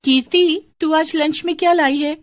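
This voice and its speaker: A woman voicing a chatty, excitable schoolgirl classmate in a children's story. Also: high-pitched, normal speed, pitched above the performer's own voice, natural voice. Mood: cheerful. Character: cheerful, teasing young schoolgirl classmates.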